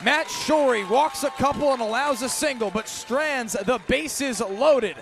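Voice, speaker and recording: A man's voice: very high-pitched, measured pace. A man doing live radio play-by-play commentary of a baseball game as the announcer.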